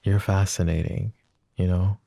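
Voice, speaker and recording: A man's voice: low-pitched, little pitch movement, a little slow, gravelly, warm tone, no room sound, exaggerated voice. A man playing a smitten, self-deprecating mafia boss in an intimate ASMR romance roleplay, murmuring hesitantly and teasingly. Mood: earnest, smitten.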